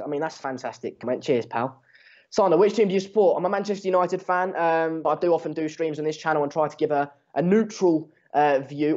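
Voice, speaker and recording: A man's voice: a little high, darkish tone. A seventeen-year-old English man, a native Dutch speaker, chatting casually and sarcastically on a football watchalong stream in slangy, matey, sweary language. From England. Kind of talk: casual live match commentary and chat with viewers. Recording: dull lo-fi stream mic.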